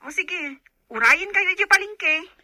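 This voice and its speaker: A woman's voice: very high-pitched, expressive, a little slow, bright, forceful tone, no echo, studio quality, full character voice. A woman playing a young Filipino daughter talking to her mother in a radio drama. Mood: eager.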